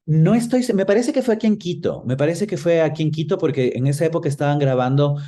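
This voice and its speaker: A man's voice: fairly high pitch, quick pace, dark tone. A man of about forty with an Ecuadorian accent, answering interview questions in a reflective, explanatory way and often searching for words. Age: around 40. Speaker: interview guest.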